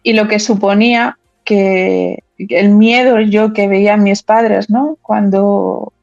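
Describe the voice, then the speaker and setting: A woman's voice: medium pitch, steady tone, slow, creaky, a little mellow, no echo, dull audio, faint music behind. A woman in her fifties from Madrid, answering interview questions as a political candidate, often repeating words and restarting her phrases. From Madrid, Spain. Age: 50s.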